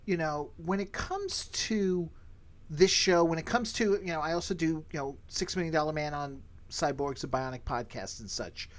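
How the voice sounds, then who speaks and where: A man hosting a podcast, giving his opinions in a casual, emphatic way and repeating phrases for stress. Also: fairly high pitch. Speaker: podcast host.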